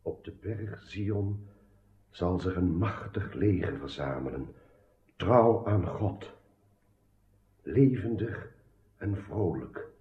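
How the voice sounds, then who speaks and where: An actor playing a solemn, troubled king in a radio drama, speaking gravely in halting, declamatory phrases. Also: deep voice, animated. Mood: exalted, proclaiming. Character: solemn, troubled ageing king haunted by dreams. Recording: echoey, dull old radio recording.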